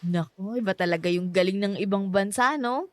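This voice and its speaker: A woman's voice: mid-range voice, a little fast, dry recording, close to an everyday voice. An actress playing a friendly young Filipino woman in a radio drama, speaking politely with a playful, slightly hesitant manner and a Filipino accent. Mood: chatty. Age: young adult. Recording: background music.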